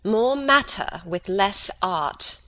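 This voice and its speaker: Woman acting a bright-voiced, deliberate queen. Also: mid-range voice, lively intonation, no room sound. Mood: concerned, direct. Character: regal queen, concerned and direct mother. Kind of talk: classical Shakespearean stage acting, dramatic reading.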